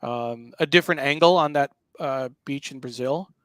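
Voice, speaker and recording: Man hosting, halting and explanatory, close dry sound. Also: mid-range voice, a bit bright, clear, resonant tone, no echo. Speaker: show host. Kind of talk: casual live talk walking through a newspaper.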